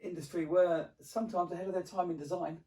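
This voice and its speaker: A man's voice: high voice, fairly even intonation, fast talker, warm tone. A man with a British accent, chatting casually as an interviewer. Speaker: interviewer, vintage hi-fi dealer. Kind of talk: casual chat. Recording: distant from the mic.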